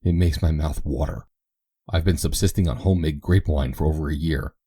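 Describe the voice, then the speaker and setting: A man's voice: extremely low pitch, fairly quick, warm tone, breathy edge, no room sound. A man reading a dry, grumbling old colonel. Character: older father, a dry, world-weary colonel.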